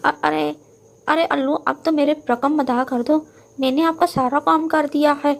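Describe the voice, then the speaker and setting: A woman's voice: high voice, fairly even intonation, unhurried, vocal fry, voice pushed up. A woman voicing a greedy, impatient male crow henchman in a children's cartoon, with an eager, nagging manner.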